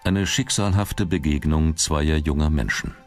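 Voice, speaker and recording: A man reading scripted documentary narration with measured, dramatic phrasing. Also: a bass voice, soft background music.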